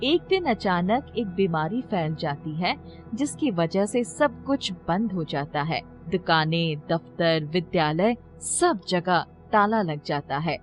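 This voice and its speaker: A woman's voice: on the low side, lively intonation, takes it slowly, soft background music. A woman storyteller reading a scripted children's story in narration style.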